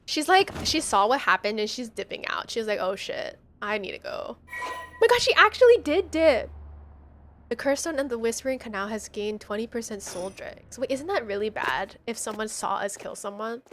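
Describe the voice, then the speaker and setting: A woman doing live game commentary as a streamer, slangy and animated, reading on-screen text aloud. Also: a little high, normal speed, a little hiss or hum. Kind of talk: live playthrough commentary with dialogue read aloud.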